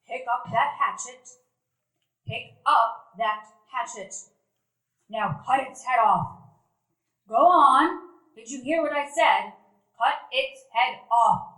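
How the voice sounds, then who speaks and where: A woman acting a stern, brisk older sister in a stage radio play, giving curt, scolding orders. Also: fairly high pitch, pushed and bright. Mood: commanding. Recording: roomy event hall.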